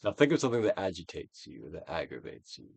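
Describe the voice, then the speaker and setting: Male stage-actor narrator for a guided self-help reading. Grand, declamatory, very wide melodic range, drawn-out emphasis, recorded dry. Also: deep voice, wildly swooping pitch, creaky, darkish tone, husky, dry recording. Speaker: audiobook reader, actor. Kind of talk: theatrical dramatic reading of a self-help book.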